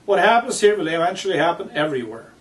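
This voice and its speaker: A man reading his own book aloud with commentary, speaking in deliberate, emphatic phrases broken by pauses. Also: high voice, big pitch swings. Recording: distant microphone.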